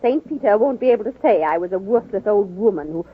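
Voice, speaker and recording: Radio drama part: husky-voiced American woman of sixty, frail yet stubborn, wry and argumentative, thin old broadcast sound. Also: medium pitch, lively intonation, fast talker. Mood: sly, determined. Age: older. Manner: wry, insistent, crisply argumentative. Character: frail but feisty sixty-year-old woman nursing reformer. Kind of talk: 1940s radio drama acting. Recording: muffled old radio recording, phone-like band.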